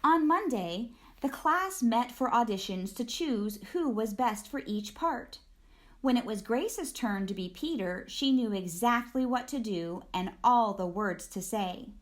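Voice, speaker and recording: A woman reading a children's picture book aloud as an expressive storyteller. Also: a little high, a little slow. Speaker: storybook reader.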